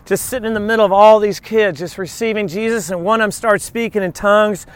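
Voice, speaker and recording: High-voiced man preaching conversationally. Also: fast talker, clean tone, dry recording. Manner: informal, animated storytelling with acted-out quotes. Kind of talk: conversational sermon with personal stories and scripture teaching.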